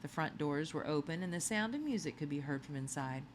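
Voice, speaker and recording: A woman with a low voice narrates a children's novel. Her storytelling is expressive and swings widely in pitch. A little noise sits behind the recording. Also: fairly quick. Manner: expressive storytelling with acted dialogue. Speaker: audiobook reader. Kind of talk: scripted narration of a children's novel, read aloud.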